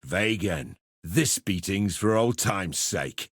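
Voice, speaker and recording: An actor playing a brash, gleefully violent male pirate brawler in a video game, taunting with a gravelly, forceful swagger. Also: low-pitched, expressive, slow, no echo, clean studio sound, exaggerated voice. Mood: menacing. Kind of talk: video game combat barks.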